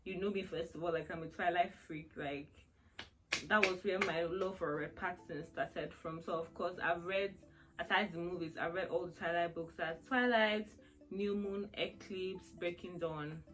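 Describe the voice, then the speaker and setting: A woman's voice: lowish voice, steady tone, normal speed, rough recording. A woman in her twenties chatting through a question-and-answer vlog, rambling and laughing often. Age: 20s. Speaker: vlogger. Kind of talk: casual Q&A chat.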